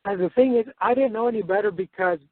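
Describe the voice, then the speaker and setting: A man's voice: sits high, fast, dry recording. A man calling in for advice, raised in the United States, Spain and Mexico, explaining himself casually and hesitantly with repeated phrases. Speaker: caller seeking advice. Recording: narrow-band phone line.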